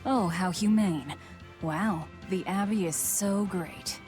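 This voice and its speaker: Low-voiced, sardonic fighter heroine. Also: female voice, takes it slowly, a little mellow. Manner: blunt, dry, sardonic. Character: cynical, hard-edged young woman fighter. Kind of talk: English video game dub acting. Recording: music under the voice.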